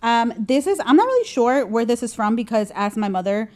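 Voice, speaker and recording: A woman's voice: a little high, very animated, a little fast, a little mellow. A woman in her thirties from Mexico, a podcast guest talking in a reflective, casual way with frequent ums and restarts. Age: 30s. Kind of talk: casual interview.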